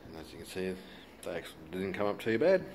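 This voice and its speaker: A man's voice: deep voice, very animated, fast, a little mellow. An Australian man giving a casual, laid-back hands-on how-to commentary in colloquial language. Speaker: hobbyist demonstrator. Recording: noisy handheld home video.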